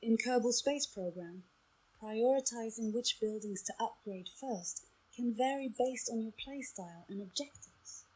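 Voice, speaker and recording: A synthetic woman's voice as an AI game companion, giving measured, explanatory narration. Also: a little high, darkish tone, breathy edge, rough recording. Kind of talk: informative scripted-sounding narration.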